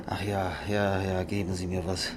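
Man acting weary older writer, lowish voice, proud, irritable, grumbling, radio play, clearly noisy recording. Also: level tone, normal speed, lightly acted. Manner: grumbling, self-mocking, weary. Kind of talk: German radio drama acting.

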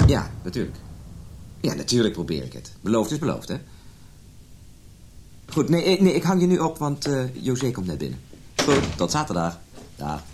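An actor playing a condescending, coaxing husband and family doctor in a naturalistic radio drama. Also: male voice, medium pitch, wildly swooping pitch, normal speed, long pauses, a little mellow, a little hiss or hum. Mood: businesslike, hedging. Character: patronising, smooth husband, a family doctor with literary ambitions.

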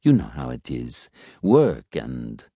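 A man voicing an awkward, uneasy man who answers tersely and hesitantly, mumbling in a deep voice. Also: huge pitch swings, slow, mellow, husky, dry recording, full character voice.

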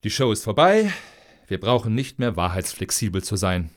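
A man's voice: on the low side, sing-song, moderate pace. A man acting in a radio satire as a blunt, cynical grant applicant with a dry, sarcastic manner. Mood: blunt, defiant.

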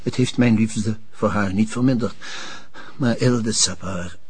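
An actor playing a pompous, influential notary in a TV drama, speaking with a Flemish accent, formal and hesitant, slipping into French phrases. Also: male voice, fairly low pitch, a little slow, slight background noise, natural voice. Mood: confiding. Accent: Flemish Belgian. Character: smooth-mannered, pompous, influential male notary. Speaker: actor in a police drama series.

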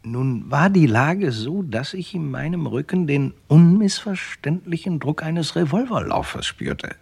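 Lively, husky, wry crime-story narrator. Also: male voice, on the high side, unhurried, heavily acted. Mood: wry storytelling. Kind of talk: first-person radio crime drama narration.

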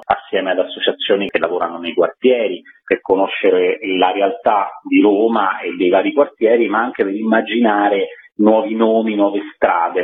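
Guy phoning into radio, lowish voice, tinny line, explaining an event like an interview guest. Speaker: event presenter, workshop leader, interview guest. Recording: narrow phone line on radio.

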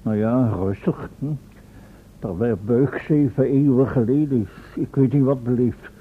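An actor playing an anxious, exacting professor and inventor in a radio play, speaking formally, impatiently and dramatically. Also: male voice, mid-range voice, measured pace, breathy edge. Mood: tense, impatient. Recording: old, muffled thin radio recording with noise.

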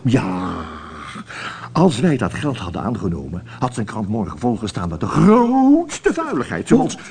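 An actor playing a suspicious, blustering small-town sheriff in a radio comedy, repeating his phrases and swinging between soothing and shouting. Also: medium pitch, wildly swooping pitch, unhurried, recorded from a distance. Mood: conspiratorial, indignant. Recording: faintly noisy 1980 radio recording, off-mic.

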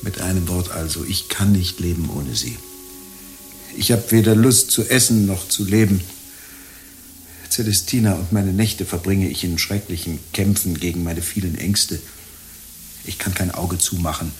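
Man acting a low-voiced, lovesick young gentleman. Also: normal speed, a little hiss or hum, natural voice. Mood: despairing. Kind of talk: German radio play acting.

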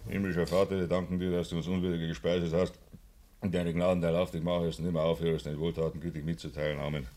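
Actor as a deep-voiced, proud Bavarian farmhand. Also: male voice, level tone, quick pace, warm tone, close to an everyday voice. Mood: murmured prayer. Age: young adult. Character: proud, blunt young farmhand. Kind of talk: dialect radio play acting.

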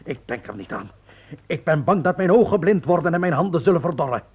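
An actor in a radio play playing a superstitious, proud sculptor with a husky voice and a flowery, dramatic way of speaking. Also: male voice, a little high, lively intonation, quick pace. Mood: alarmed. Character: florid male sculptor, proud but superstitiously fearful. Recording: dull old radio recording.

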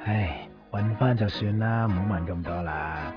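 A man's voice: sits low, a little slow. An actor playing a cocky, breezy, mysterious man with a Hong Kong Cantonese accent in a radio drama. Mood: breezy, reassuring. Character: cocky, easygoing, mysterious man who finds lost things. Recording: dull 1990s radio with music. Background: music.

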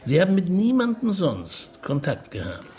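A man's voice: high voice, moderate pace. A man playing a dry, polite police detective who questions patiently in an understated radio comedy style. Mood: probing. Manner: understated, deadpan, hesitant. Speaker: actor in a radio crime comedy. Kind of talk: German radio play dialogue.

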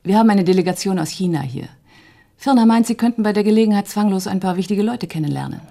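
A woman acting in a radio play as a brisk, teasing office employee in her late thirties. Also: mid-range voice, animated, creaky, mellow. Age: 30s.

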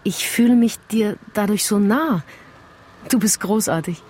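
Schauspielerin als anhimmelnde Frau, weiche, dunkle Klangfarbe. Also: female voice, mid-range voice, measured pace, a little mellow, slight background noise, close to an everyday voice. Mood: adoring, yearning. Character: adoring, lovestruck, clinging woman admirer. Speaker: actress in radio play. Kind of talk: German radio crime drama dialogue.

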